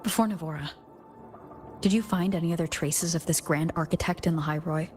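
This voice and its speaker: An actress playing a calm, thoughtful wanderer in a video game, speaking reflectively. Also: female voice, low-pitched, brisk, soft background music, natural voice. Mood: calm, pensive. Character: calm, thoughtful female wanderer far from home. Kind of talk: scripted video game dialogue.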